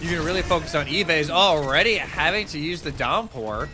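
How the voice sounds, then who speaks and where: A man's voice: a little high, lively intonation, normal speed. A man doing live play-by-play commentary on an esports match. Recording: clear background noise.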